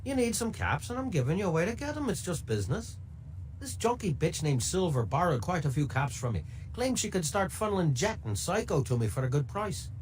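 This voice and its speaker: Sly, highly animated shady dealer. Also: male voice, fairly quick, a little hiss or hum, poor audio. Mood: sardonic, scheming. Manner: wheedling, sardonic, insinuating. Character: sly, mercenary middle-aged male information dealer. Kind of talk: video game dialogue.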